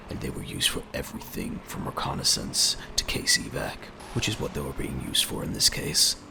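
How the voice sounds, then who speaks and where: A man narrating a scripted dramatic story in a measured, descriptive way. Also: low-pitched, vocal fry, noisy background.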